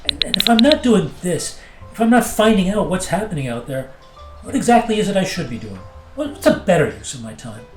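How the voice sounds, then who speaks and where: Earnest, exasperated pilot played by a woman, animated delivery. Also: lowish voice, a little fast, roomy sound, over music. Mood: grave, reproachful. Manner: earnest, reproachful, hesitant under pressure. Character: anxious, rule-following airline pilot, exasperated and grave. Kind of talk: naturalistic audio drama acting.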